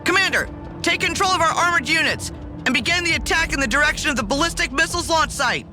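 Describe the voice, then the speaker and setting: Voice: high voice, animated, fast talker. An actor playing an alert military field officer in a strategy game, giving urgent, clipped reports. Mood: alert, businesslike. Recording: loud music and explosions behind.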